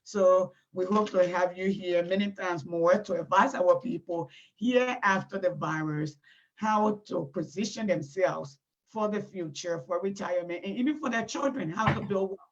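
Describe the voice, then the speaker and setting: A middle-aged woman with a Liberian accent hosting an informal live online talk, often halting as she searches for words. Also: fairly low pitch. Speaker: talk show host. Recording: dull, lo-fi internet stream audio.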